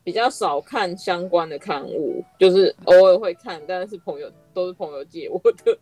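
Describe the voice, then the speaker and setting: A woman's voice: on the low side, moderate pace, mellow, faint music behind. A woman with a Taiwanese accent hosting a podcast in a casual, conversational interview style. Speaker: podcast host.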